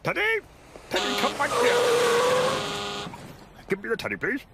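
A man voicing a bumbling, childish, excitable middle-aged Englishman in a cartoon, mumbling, spluttering and repeating his words. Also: very high-pitched, slow pace, heavy background noise, exaggerated voice. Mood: agitated. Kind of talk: animated cartoon comedy acting. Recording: loud cartoon music behind.

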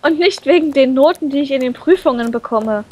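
A woman reading a visual novel aloud in an animated, playful way, breaking off into her own comments. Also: high-pitched, a little fast, a little hiss or hum, low-quality recording. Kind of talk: expressive let's play read-aloud.

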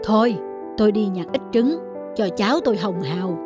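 A woman's voice: medium pitch, lively intonation, moderate pace, over music, close to an everyday voice. A woman voicing a caring, teasing older country mother in a cartoon family drama. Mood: fond, teasing.

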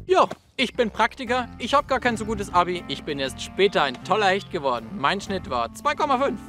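A man's voice: high-pitched, big pitch swings, fairly quick, music in the background. A man of 27 presenting scripted lines to camera in a wry, ironic way. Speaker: video presenter; teacher.

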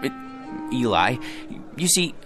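An actor playing a meek, put-upon husband in a radio play, hesitant and plaintive. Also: male voice, mid-range voice, very animated, slow pace. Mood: protesting. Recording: studio radio play with music behind.

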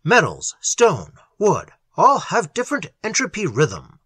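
An urgent male scientist from a pulp horror story, acted by a man reader, commanding and emphatic. The voice is raised and sharp, swinging widely as he explains and barks orders. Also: sits high, huge pitch swings, measured pace, slightly rough, dry recording, pitched above the performer's own voice, heavily acted. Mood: grave, insistent. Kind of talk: dramatized horror story reading.

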